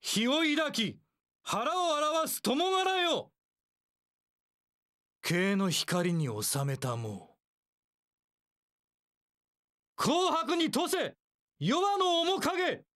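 A haughty, cold-blooded warlord for a video game. He speaks slowly and imperiously in archaic phrases, his pitch leaping dramatically. The sound is dry and studio-close. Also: huge pitch swings, fairly bright tone, no room sound, full character voice. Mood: commanding. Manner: archaic, imperious, measured speech. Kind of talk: video game character voice lines.

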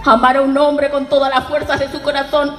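Woman playing an anguished, high-voiced outcast daughter. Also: little pitch movement, fairly quick, fairly bright tone. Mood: anguished, pleading. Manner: anguished, pleading melodramatic delivery. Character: desperate, pleading young pregnant outcast daughter. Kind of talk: live radio-theatre melodrama acting. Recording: echoey hall with music.

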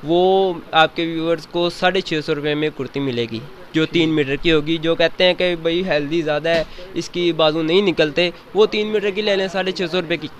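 A young man, a student and shop owner's son, earnestly pitching fabrics and guarantees into a handheld mic in a cloth warehouse. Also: normal speed, hardly any pauses. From Pakistan. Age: young adult. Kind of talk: product pitch. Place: indoors, small cloth warehouse.

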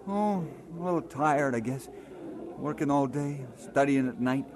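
An actor playing an earnest young Scottish working lad in an old-time radio drama. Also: male voice, medium pitch, unhurried, a crowd in the background, lightly acted. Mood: weary, wistful.

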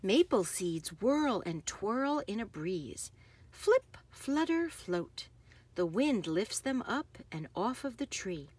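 A woman reads a children's picture book aloud in an unhurried, very lively voice with a slightly husky edge. She phrases deliberately and leans into the action words. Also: medium pitch, no room sound. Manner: animated, emphasizing action words. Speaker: read-aloud host.

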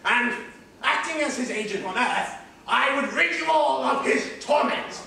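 A man's voice: very high-pitched, normal speed, vocal fry, bright, forceful tone, full character voice. A man acting a formal, haunted old war veteran in a stage play, stammering, earnest and stiffly polite. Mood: solemn. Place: large theatre stage, recorded from far off. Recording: echoey distant theatre recording with hiss.

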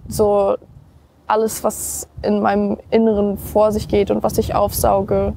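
A young woman singer from Germany chatting casually in an outdoor interview, relaxed and laughing easily, slipping English words into her talk. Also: medium pitch, a little slow, vocal fry, a little hiss or hum. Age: young adult. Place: outdoors on steps by a river.

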